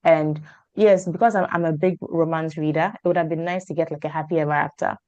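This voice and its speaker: Low-voiced woman chatting about books, close dry sound. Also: steady tone, a little fast, darkish tone, no echo, dull audio. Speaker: book club panelist. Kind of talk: casual book discussion.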